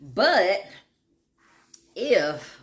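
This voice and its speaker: A woman's voice: medium pitch, sing-song, drawn-out words. A woman talking casually and candidly, laughing often and wandering off track as she tells stories. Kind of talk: casual monologue.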